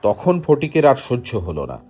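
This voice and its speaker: Male narrator, literary storytelling, very lively intonation, plucked string music behind. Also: mid-range voice, normal speed. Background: plucked guitar-like music.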